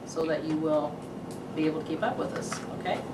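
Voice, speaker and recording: A woman's voice: sits low, steady tone, brisk. A woman hosting an event, making announcements and introducing the organizer in a chatty way. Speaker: host of the venue. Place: meeting room with audience. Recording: roomy, distant mic, background noise.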